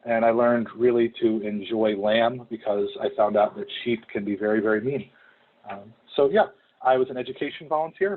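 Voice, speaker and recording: Young ohio guy chatting thru a session, lots of ums, tinny phone-ish audio. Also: medium pitch. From Ohio, United States. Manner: conversational, with frequent ums. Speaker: returned volunteer presenter. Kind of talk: information session talk. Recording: phone-like narrow sound.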